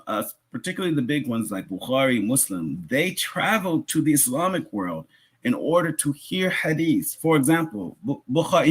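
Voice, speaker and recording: Male preacher, Islamic lecture, husky voice, lively swooping intonation, explanatory, emphatic repetition, rhetorical asides. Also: mid-range voice. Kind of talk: religious lecture, teaching.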